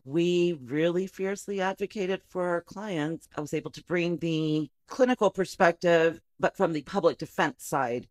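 A woman from the Rio Grande Valley in Texas, a social worker guest telling her story with a lively manner and easy laughs. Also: lowish voice, normal speed, few pauses, no echo. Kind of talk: podcast interview.

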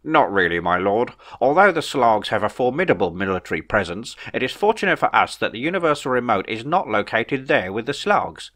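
A man's voice: mid-range voice, big pitch swings, brisk, hardly any pauses, a bit bright. A man voicing a stiff, formal royal advisor who speaks deferentially and hesitates.

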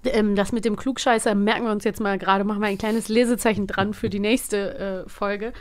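A woman's voice: mid-range voice, steady tone, brisk. A woman in her thirties co-hosting a podcast in casual chat, chatty and laughing often, sometimes losing her thread, on a studio mic. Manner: chatty, laughs often, trails off mid-thought. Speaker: podcast co-host.

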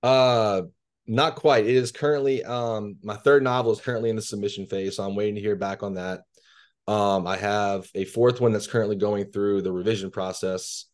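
A man in his thirties from Louisiana, reading his horror fiction aloud in a measured way, then answering questions casually on a video call from a bedroom. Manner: low, measured, even reading voice. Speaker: guest author. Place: bedroom at home. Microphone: video call laptop camera.

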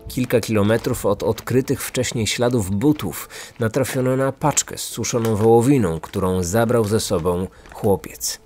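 Male podcast narrator, lowish voice, scripted true-crime read over faint music. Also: moderate pace, hardly any pauses, a little mellow.

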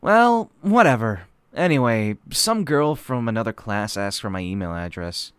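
A man playing a blunt, skeptical, nagging high-school teenager in a manga dub. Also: animated, moderate pace, fairly bright tone, a little hiss or hum. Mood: nagging, offhand.

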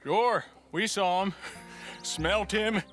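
A man's voice: sits high, extremely slow, fairly bright tone, exaggerated voice. An actor playing a folksy male passer-by on the frontier in a video game. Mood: amused. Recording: music behind.

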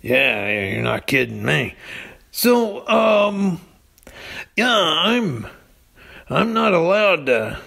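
A man's voice: fairly high pitch, sing-song, drawn-out words, vocal fry, pauses often, pushed and bright. A man chatting casually and comically as a podcast storyteller, laid-back and hesitant. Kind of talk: casual comedic chat.

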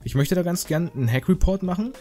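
A man's voice: sits high, expressive, fast, warm tone, faint music behind. A man giving casual, joking game commentary in a rambling, slangy, mock-indignant way. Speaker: gaming video host.

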